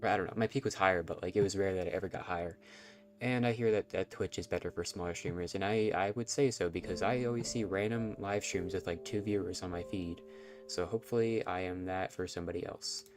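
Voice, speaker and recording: A man's voice: deep voice, fast, soft background music. A man with a nasal, stuffy voice, rambling and self-conscious as he chats through a live game stream. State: stuffy nose from allergies.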